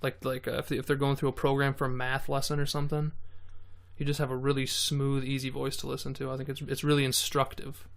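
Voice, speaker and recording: Gravelly-voiced man chatting with a lot of swearing. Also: mid-range voice, fast. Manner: animated, swears a lot, trips over his words. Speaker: guest, beatboxer and voice actor. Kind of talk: casual podcast chat.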